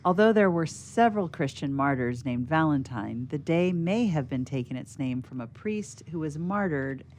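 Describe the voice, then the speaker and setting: A woman's voice: sits low, lively intonation, moderate pace, darkish tone, a little hiss or hum. A woman radio theatre player reading an informational history note aloud from a script.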